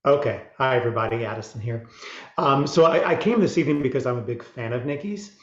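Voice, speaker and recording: A man's voice: medium pitch, expressive, fast. A man asking a question on a video call, stammering and repeating words with many "um"s. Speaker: audience guest. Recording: dull echoey room.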